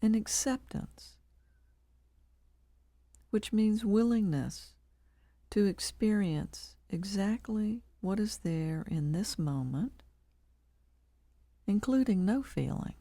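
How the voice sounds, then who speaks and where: A woman leading a guided body scan meditation, calm and measured, leaving long pauses between short phrases. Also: mid-range voice, dry recording.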